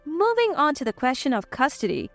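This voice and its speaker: Woman playing resolute, scornful wife, high voice. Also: wildly swooping pitch, brisk, vocal fry, fairly bright tone, dry recording, soft background music, exaggerated voice. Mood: firm. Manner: firm, scornful, dismissive. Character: cool, resolute wife divorcing her husband.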